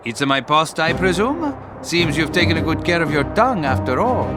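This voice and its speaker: An actor playing an impatient, brash soldier in a wartime video game cutscene. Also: male voice, a little high, big pitch swings, moderate pace. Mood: sardonic. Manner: brash, sarcastic, urgent. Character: hot-headed, impatient Italian soldier in wartime. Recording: music behind the voice.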